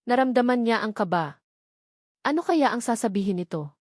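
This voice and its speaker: A woman's voice: on the high side, expressive, moderate pace, darkish tone, dry recording. Woman narrating in a dry, close recording. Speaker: story narrator. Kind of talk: scripted dramatic narration of a romance story.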